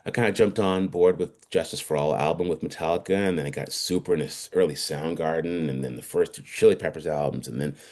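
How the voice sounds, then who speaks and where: Very low-pitched man, fifties, from Trinidad, halting casual chat, video call. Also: darkish tone. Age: 50s. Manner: halting, many restarts and fillers. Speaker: guest musician.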